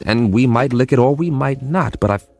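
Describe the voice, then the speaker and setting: An actor playing a wry, idealistic government codebreaker in an audio drama, talking in a folksy, conversational way. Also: male voice, mid-range voice, moderate pace, a little mellow, faint music behind, natural voice. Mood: musing. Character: skeptical-of-war, idealistic male government codebreaker, wry and weary. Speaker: lead actor and narrator. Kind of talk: audio drama acting with first-person narration.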